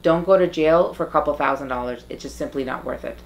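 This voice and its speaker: A woman's voice: low-pitched, fast, slight background noise. A woman from Guyana, an interview guest giving direct advice, emphatic and pleading, repeating her key points. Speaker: interview guest advising the community on legal cases.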